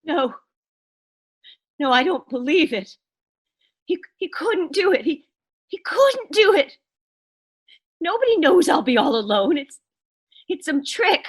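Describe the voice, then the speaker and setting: A woman's voice: sits high, very animated, slow pace, pauses often, full character voice. A woman playing an anxious, demanding invalid wife in a suspense radio play, melodramatic and stammering as she pleads. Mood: distraught. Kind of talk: 1940s-style suspense radio play acting.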